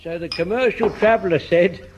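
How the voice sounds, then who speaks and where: An actor playing a self-amused Englishman deliberately telling a corny anecdote in a vintage radio sitcom. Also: high-pitched, lo-fi sound, a little hiss or hum, lightly acted.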